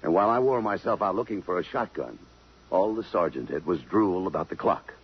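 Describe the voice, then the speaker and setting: Husky low-voiced police detective. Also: male voice, lively intonation, fairly quick, heavily acted. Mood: probing, matter-of-fact. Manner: plain-spoken, slangy, matter-of-fact. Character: hard-boiled, steady male police detective. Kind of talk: 1950s radio drama acting. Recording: muffled 1950s radio broadcast.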